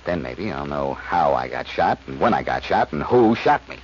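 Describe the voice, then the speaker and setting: A deep american radio detective, wry and sure, swoops around, muffled old recording. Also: male voice, sing-song, normal speed, a little hiss or hum. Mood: shrewd, intent. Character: wry, quick-witted male amateur detective, confident and pressing. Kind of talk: 1940s radio acting.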